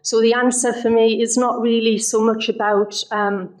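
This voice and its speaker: Woman councillor, level monotone, slightly strained voice; council chamber address. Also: a little high. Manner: measured, steady delivery with frequent ums. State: losing her voice. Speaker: councillor moving an amendment. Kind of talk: council meeting speech.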